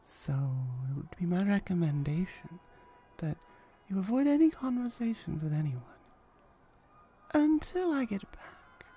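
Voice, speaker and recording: A woman voicing a protective, flirtatious female hellhound in a roleplay ASMR audio drama, soft, reassuring and teasing. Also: very animated, faint music behind, heavily acted. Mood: cautioning, reassuring. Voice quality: low, soft, mellow. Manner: unhurried, reassuring, teasing, sometimes breathy.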